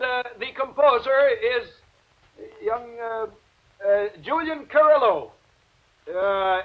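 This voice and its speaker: A fussy, nervous concert host in a golden-age radio play. His voice sits extremely high, and he hems and haws apologetically in wordy, genteel phrases. The sound is dull and old. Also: heavily acted. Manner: stammering, hesitant, wordy, genteel. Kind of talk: 1940s-50s radio drama acting, formal stage introduction. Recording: dull vintage radio recording.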